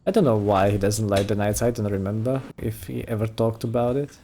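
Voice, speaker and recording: A man's voice: fairly low pitch, fairly even intonation, a little fast, mellow. A man giving casual, laid-back let's play commentary with offhand asides over slight noise. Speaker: gaming streamer.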